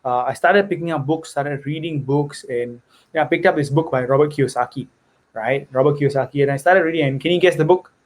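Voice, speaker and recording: A man's voice: a little high, fairly quick. A young man from Singapore, earnestly telling his life story as a podcast guest and often checking in with "right?" and "you know". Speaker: guest investor. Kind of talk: personal story in conversation.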